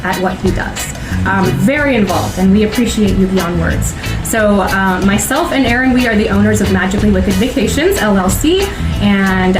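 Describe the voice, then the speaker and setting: A woman hosting a casual interview, enthusiastic and affirming, with frequent fillers. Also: medium pitch. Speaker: travel agency owner and host. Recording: roomy sound with music behind.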